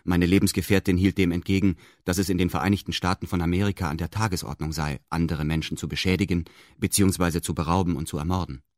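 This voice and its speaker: A man's voice: low voice, steady tone, fast talker, a little mellow, no echo, clean studio sound, close to an everyday voice. A male actor playing a detached first-person radio drama narrator with precise, stilted, bureaucratic phrasing. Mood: detached, formal.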